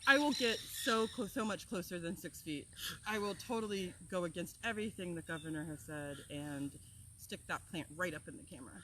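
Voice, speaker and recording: A woman from Florida, an herbal teacher, talking in an energetic, passionate way as she opens an outdoor class. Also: on the low side, a little fast, a little hiss or hum, poor audio. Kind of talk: casual talk to open an outdoor class. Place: outdoors among plants, with birds and insects.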